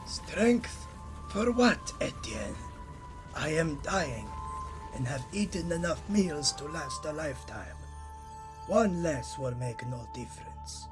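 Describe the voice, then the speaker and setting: A man's voice: fairly high pitch, very animated, slow. A man playing a frail, dying old Italian master painter in an audio drama, weary, wry and theatrical. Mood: irritable. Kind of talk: scripted audio drama dialogue. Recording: music behind the voice.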